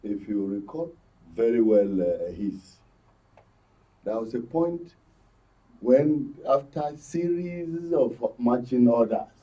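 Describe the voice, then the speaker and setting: A man's voice: mid-range voice, animated, slow pace. A man with a Nigerian accent giving political analysis as a guest in a discussion. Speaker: panel guest, political commentator. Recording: muffled, slightly distant microphone.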